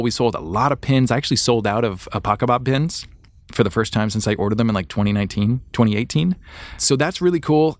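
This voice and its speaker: A man's voice: fairly low pitch, dry recording. A man hosting a podcast solo, chatting casually and informally, speaking straight to his listeners. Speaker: podcast host.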